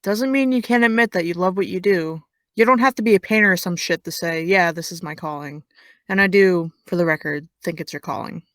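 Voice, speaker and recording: A young actress playing a blunt, sarcastic high school girl with no filter. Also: a little fast, a bit bright, no room sound, clean studio sound, lightly acted. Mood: teasing. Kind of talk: audio drama.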